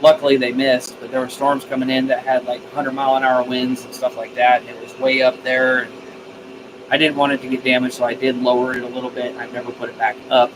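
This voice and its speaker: A man's voice: medium pitch, completely flat delivery, brisk, background noise. A man chatting casually on a live stream, answering viewer comments and repeating phrases as he thinks aloud. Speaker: live streamer, radio hobbyist.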